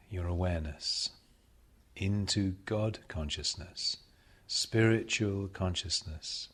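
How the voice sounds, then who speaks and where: A man leading a guided meditation as a spiritual teacher, speaking solemnly and deliberately, with measured pauses and repeated phrases. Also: low voice, a bit bright, husky.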